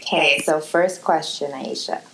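A woman co-hosting a podcast in casual chat, animated and acting out quotes. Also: measured pace, creaky, slight background noise, rough recording. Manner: animated, quotes herself in squeaky voices. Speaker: podcast co-host.

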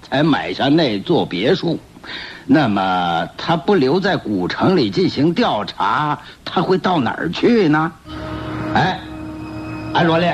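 An actor playing a ruthless, scheming, hot-tempered gang boss with a thick, hoarse voice, barking orders in a radio play. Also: male voice, on the high side, a little hiss or hum. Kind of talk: theatrical radio drama acting. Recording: muffled lo-fi radio drama recording.

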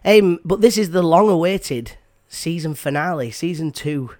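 A man's voice: a little high, lively intonation, moderate pace, darkish tone. A man hosting a podcast in casual chat, stuffy from a cold, with frequent ums and restarts. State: has a cold. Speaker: podcast host.

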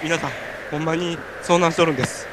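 A man's voice: a little high, fairly even intonation, quick pace, natural voice. A man with a Kansai accent playing an earnest, exasperated podcaster stranded on a mountain in an amateur radio drama. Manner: earnest, exasperated retorts and pleas. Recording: clear background noise.

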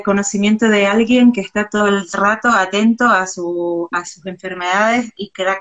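A woman interviewer talking at a middle pitch. She asks her question haltingly, with fillers and restarts. The live stream audio sounds dull and lo-fi. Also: fairly bright tone. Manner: hesitant, halting. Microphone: video call.

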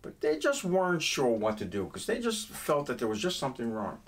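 A middle-aged man with a New York accent tells stories in a relaxed chat, slipping in jokes along the way. His voice sits in a middle pitch. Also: a little fast. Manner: storytelling with jokes. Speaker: guest. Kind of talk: casual chat.

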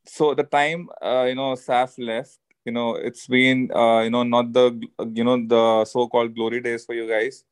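A man's voice: mid-range voice, flat delivery, moderate pace, clear, resonant tone. A man, a football fan, making his point in a casual podcast debate, hesitant and full of fillers. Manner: hesitant, many fillers like "you know" and "uh".

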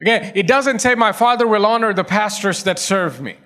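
High-voiced preaching man. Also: normal speed, sharp tone. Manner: preaching with rising emphasis and repetition. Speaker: pastor. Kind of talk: sermon.

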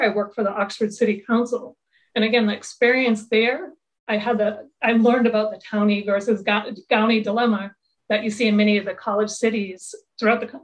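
A woman's voice: medium pitch, low-quality recording. A middle-aged woman talking as an interview guest, speaking earnestly and often restarting her sentences. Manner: earnest, with many fillers and restarts. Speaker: interview guest, tech executive. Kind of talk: casual interview chat.

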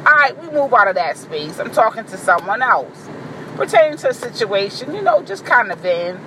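A woman with a deep voice giving a blunt, profane, boisterous vlog monologue, recorded in a car. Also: sing-song, moderate pace, noisy background. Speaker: vlogger. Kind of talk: candid monologue to camera.

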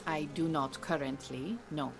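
An actress playing an earnest, proud Iranian refugee woman who mentors beekeepers in an audio drama, speaking in a measured, formal, precise way. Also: low-pitched, steady tone, gravelly. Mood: firm, defensive. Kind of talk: naturalistic audio drama acting.

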